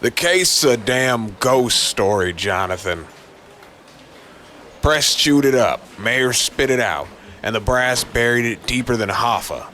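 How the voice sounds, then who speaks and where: A man voicing a gruff, weary, irritable New police chief, blunt and streetwise, in a noir audio drama. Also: mid-range voice, expressive, takes it slowly, pushed and bright, slight background noise, pitched above the performer's own voice. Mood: exasperated.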